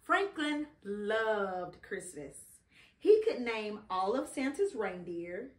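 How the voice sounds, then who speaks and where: A middle-aged woman reading a children's story aloud expressively, pausing to prompt and encourage a child. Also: mid-range voice, big pitch swings. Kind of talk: children's read-along storytelling. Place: indoor living room beside a Christmas tree. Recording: roomy, echoing home recording.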